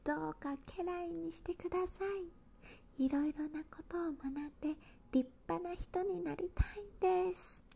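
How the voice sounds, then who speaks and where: A woman voicing a tiny, brave, cheerful little boy in an eager, polite breathy whisper for an ASMR storybook, close to a handheld recorder. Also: very high voice, normal speed, warm tone, pitched above the performer's own voice.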